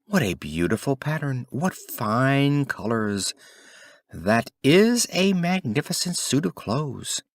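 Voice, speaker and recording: A man voicing fawning royal courtiers who flatter with exaggerated, gushing admiration in a storybook reading. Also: medium pitch, slow pace, dry recording, pitched above the performer's own voice. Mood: gushing, admiring.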